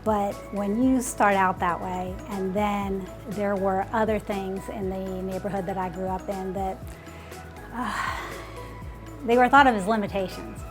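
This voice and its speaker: Woman, 40s, school principal; earnest, reflective interview voice, mid pitch, music bed underneath. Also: fairly even intonation, a little slow. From United States. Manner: earnest, uses "like" and "you know" often.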